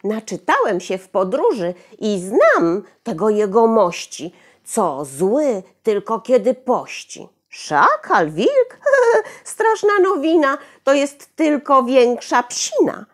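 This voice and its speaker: A woman's voice: fairly high pitch, big pitch swings, takes it slowly, exaggerated voice. A woman voicing a boastful, cocky little boy in an exclamatory storybook style. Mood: cocky bragging. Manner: bragging, exclamatory.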